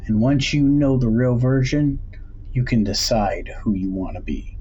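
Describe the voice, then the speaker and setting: A man voicing an enigmatic, knowing curiosity shop owner who speaks in a measured, cryptic way. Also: medium pitch, expressive, dark tone, highs cut off, slight background noise, lightly acted. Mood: cryptic counsel. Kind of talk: audiobook character dialogue.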